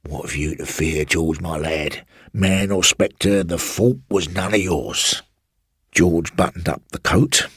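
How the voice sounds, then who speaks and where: A male reader plays an elderly working-class English manservant in a radio ghost story. The voice is low and very rough, close and dry. He is sly and brooding, with slow, insinuating phrasing that swings widely in pitch. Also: no echo, full character voice. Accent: English, rustic working-class. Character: sly elderly former manservant, evasive and brooding, recounting a haunting.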